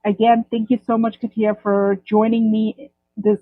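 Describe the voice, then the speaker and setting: A woman's voice: on the high side, level tone, moderate pace, no echo. Effusive woman host on a tinny line. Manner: effusive, praising the guest warmly. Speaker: podcast host. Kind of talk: casual interview talk. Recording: tinny phone-like dry line.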